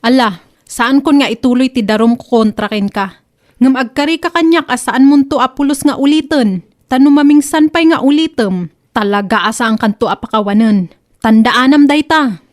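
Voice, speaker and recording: Stern, highish-voiced wronged neighbour played by a woman. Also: normal speed, close to an everyday voice. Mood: stern, relenting. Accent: Ilocano. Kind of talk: Ilocano radio comedy drama acting.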